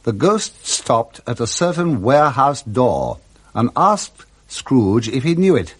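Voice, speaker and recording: Expressive male storyteller with sweeping intonation. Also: medium pitch, takes it slowly, husky. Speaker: narrator. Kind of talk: scripted literary narration, 1960s radio drama.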